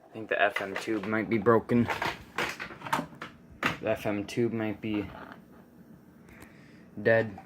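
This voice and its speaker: A man giving a casual, unscripted demonstration, halting and offhand as he thinks aloud. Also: on the low side, little pitch movement, background noise. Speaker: video creator demonstrating a vintage radio.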